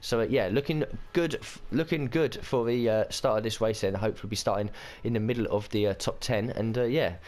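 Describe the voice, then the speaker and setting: A man hosting a racing game let's-play, chatting casually and thinking aloud, his voice croaky and hoarse from a cold. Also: on the low side, fairly quick, hardly any pauses, darkish tone. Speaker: gaming channel host.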